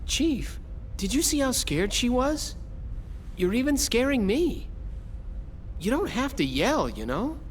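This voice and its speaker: A man's voice: very high-pitched, sing-song, takes it slowly, pauses often, a little hiss or hum, exaggerated voice. An actor playing a timid, whiny young male subordinate in an anime-style video game. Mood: timid, chiding. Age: young adult. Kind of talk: English-dubbed anime-style game acting.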